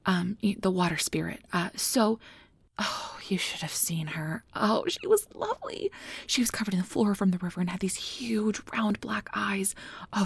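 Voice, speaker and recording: A woman playing a nervous, caring young magic researcher in an ASMR audio drama, rambling in a flustered, halting, apologetic way. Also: medium pitch, creaky, slightly rough, no echo. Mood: admiring, excited.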